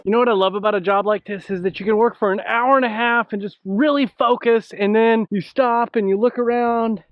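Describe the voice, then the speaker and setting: Guy with a high voice doing a casual farm vlog, plain-spoken, dry muffled camera mic. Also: steady tone, fairly quick, no room sound, soft background music. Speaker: farmer and vlog host. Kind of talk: casual video blog talk. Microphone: camera microphone.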